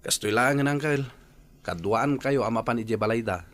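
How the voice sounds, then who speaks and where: An actor playing an earnest young nephew in a radio drama, with a conversational, coaxing way of talking. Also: male voice, mid-range voice, normal speed, lightly acted. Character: earnest young nephew nudging his shy uncle. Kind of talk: radio drama acting in Ilocano.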